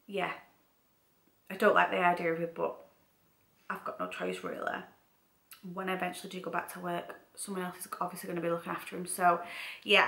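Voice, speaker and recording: A young woman with a bright, forward voice and a Mancunian accent that has picked up some Scouse. She talks in a casual, chatty vlog style, circling back over her points. The sound is roomy, with noticeable echo from an indoor space. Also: on the low side, vocal fry. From Manchester, England. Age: young adult. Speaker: vlogger. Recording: roomy, echoey home room.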